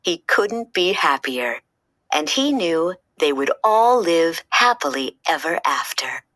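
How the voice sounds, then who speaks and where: Animated, bright-voiced woman reading a storybook. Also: low-pitched, slow, no room sound, studio quality. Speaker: storybook reader for children. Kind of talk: expressive read-aloud narration of a children's story.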